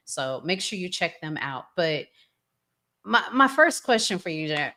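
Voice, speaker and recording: Woman podcast host w a low voice, chatty, casual interview style. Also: sing-song, unhurried, pushed and bright.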